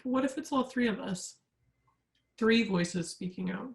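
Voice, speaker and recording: A woman playing an earnest, vulnerable young woman haunted by grief in a staged reading, speaking in an urgent, halting way. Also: fairly high pitch, creaky. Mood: insistent.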